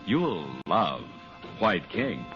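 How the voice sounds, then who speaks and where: Male American radio announcer, lowish voice with lively swoops, persuasive vintage ad read, music behind. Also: drawn-out words. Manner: polished, persuasive, emphatic. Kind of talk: 1930s-40s radio commercial reading. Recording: muffled old radio broadcast recording.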